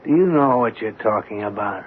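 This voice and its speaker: An actor playing a desperate working-class husband in a 1970s radio drama, blunt, urgent and rattled. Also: male voice, fairly low pitch, lively intonation, normal speed, close to an everyday voice. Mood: anxious. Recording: phone-like, noisy vintage radio recording.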